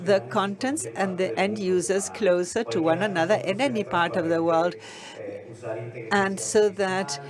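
A woman interprets a technical conference talk into English as it happens, in a voice of ordinary middle pitch. Her delivery is steady and measured. The audience of a busy conference hall can be heard clearly behind her. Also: crowd noise. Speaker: simultaneous interpreter.

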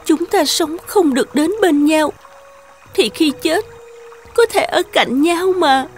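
An actress playing a devoted young runaway daughter in a cartoon folk tale, speaking with pleading emotion. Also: female voice, high voice, takes it slowly, faint music behind. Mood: heartbroken, resolute.

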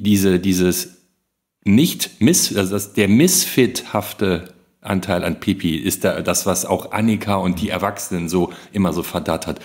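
A man's voice: low-pitched, darkish tone. A man in a casual podcast discussion, searching for words and rephrasing mid-sentence. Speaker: podcast panelist.

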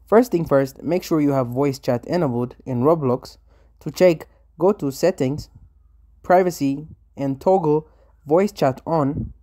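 A man narrates a scripted how-to tutorial in a soft-toned, mellow voice. His pitch sits a little high for a man. He presents each step as a host reading voice-over. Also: unhurried. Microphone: voice-over, recorded separately from the screen capture.

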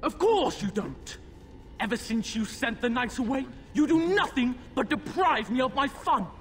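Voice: high voice, expressive, moderate pace, slight background noise. A performer playing a demon-possessed young boy in a fantasy game, haughty, mocking and commanding. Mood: petulant menace.

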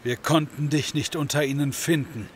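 An actor playing a level-headed Norse warrior in an audio drama, speaking in a matter-of-fact way. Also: male voice, a little high, fairly even intonation, moderate pace, slight background noise, close to an everyday voice. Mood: grave.

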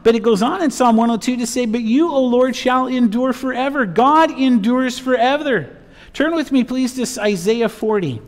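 A man's voice: very high-pitched, normal speed. A man in his fifties, a pastor preaching and reading scripture in emphatic short phrases in a church. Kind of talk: expository sermon with Bible reading. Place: church sanctuary, at a pulpit. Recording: reverberant church hall sound.

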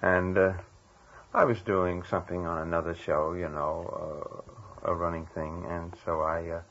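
An older man, a radio actor, reminiscing casually as an interview guest in a halting way that circles back on itself. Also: sits low, steady tone, fairly bright tone, a little hiss or hum. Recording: dull lo-fi interview recording.

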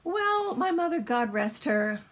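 An older woman around her sixties chatting casually and emphatically, telling stories with a husky, gravelly voice. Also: high voice, measured pace. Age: older, around 60s. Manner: animated, emphatic storyteller. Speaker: co-host, mother discussing books. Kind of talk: casual rambling chat. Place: small book-lined room indoors.

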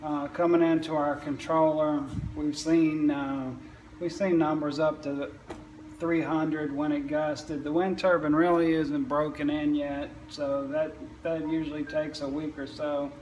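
Man in his forties, higher-pitched voice, flat delivery, halting, matter-of-fact, roomy echo. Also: dull audio. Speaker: wind power equipment installer. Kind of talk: informal product walkthrough. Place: indoors in a utility room or garage, on camera. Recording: echoey room, faint background noise.

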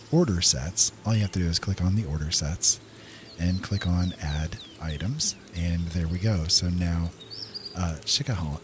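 A man's voice: sits low, noisy background. A man giving casual gameplay commentary as the host of a gaming video, talking directly to viewers.

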